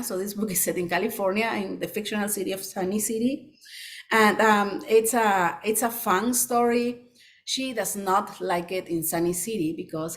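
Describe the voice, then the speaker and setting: Woman, Peruvian Spanish accent, middle-aged, earnest presentation, halting with ums. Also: mid-range voice. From Peru. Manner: frequent ums and restarts, earnest. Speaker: children's author. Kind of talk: presentation talk.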